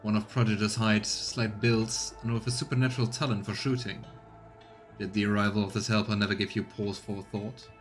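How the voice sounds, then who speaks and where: A man voicing a stern, suspicious interrogator in a video game, speaking in a measured, formal, probing way. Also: lowish voice, level tone, faint music behind, close to an everyday voice. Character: stern, suspicious male interrogator, retainer to a lord.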